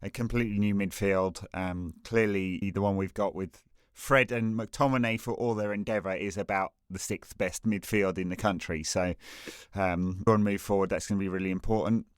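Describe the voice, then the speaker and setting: A man's voice: deep voice, steady tone, moderate pace, clear, resonant tone, no echo, studio quality. A man co-hosting a podcast, chatting casually about football and rambling with many fillers and restarts. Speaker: podcast co-host.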